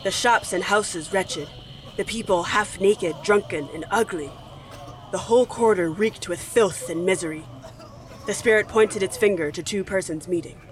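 A man narrating a dramatic story in a measured, solemn manner. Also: high voice, natural voice, low-quality recording. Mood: grave.

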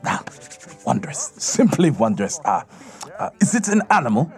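An actor playing a petulant, excitable old miser in an audio drama, with blustery theatrical delivery. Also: male voice, mid-range voice, normal speed, faint music behind. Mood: excited.

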